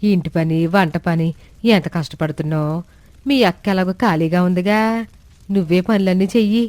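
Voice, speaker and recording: A woman's voice: lowish voice, brisk, a little mellow, no echo, natural voice. A woman voicing a scheming, envious middle-aged aunt who stirs up quarrels in a family story. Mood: sly.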